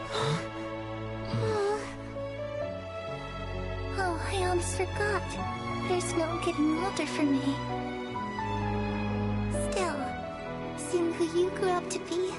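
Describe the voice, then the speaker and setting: A woman voicing a wistful, cheerful young girl in an anime-style game, with an earnest, girlish delivery. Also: extremely high pitch. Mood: tender, bittersweet. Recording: game music behind the voice.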